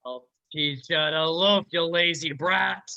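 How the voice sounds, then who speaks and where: A man's voice: fairly high pitch, slow, gravelly, pushed and bright, dull audio, exaggerated voice. A man playing a harsh, scolding old druggist boss in a radio play, recorded over a home video call. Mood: angry, scolding. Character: angry, grief-stricken old male druggist boss. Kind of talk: 1940s-style radio play acting. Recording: home video-call audio, some background noise.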